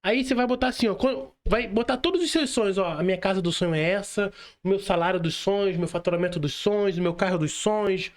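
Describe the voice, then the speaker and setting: A man's voice: sits high, fast. A young Brazilian man in motivational podcast chat, emphatic and slangy, speaking straight to the listener.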